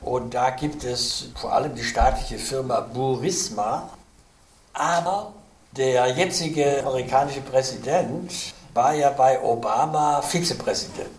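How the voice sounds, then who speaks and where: A man's voice: mid-range voice, a little slow, a little hiss or hum. Man giving lecturing answers, echoey room. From Cologne, Germany. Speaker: interview guest. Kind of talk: explanatory interview answers.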